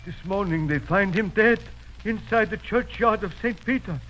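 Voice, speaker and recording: High-voiced, grim local man. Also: fairly bright tone. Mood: fearful, grim. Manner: halting, simple broken English. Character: grim local man reporting a gruesome death. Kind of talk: 1930s radio drama acting. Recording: muffled vintage radio recording.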